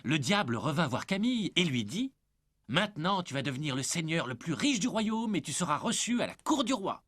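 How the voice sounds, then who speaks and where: A man playing a storyteller, narrating a French fairy tale in an animated cartoon. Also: fairly high pitch, fairly quick. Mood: engaged.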